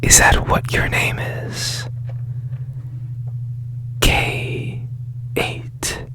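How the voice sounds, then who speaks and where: A strange man of secrets who mends broken machines, acted by a man, whispering close to the mic in an ASMR roleplay. He speaks extremely slowly, with hushed, breathy phrases that linger and halt. His manner is tender and intensely curious. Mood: mysterious, probing.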